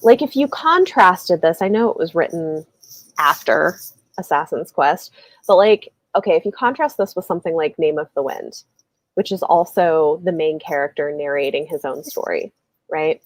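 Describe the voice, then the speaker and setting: Woman, lowish pitch, casual book chat, rambling host, filler-heavy, dry close sound. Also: animated, normal speed, no room sound, poor audio. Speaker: live show host. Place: indoors, livestream video call.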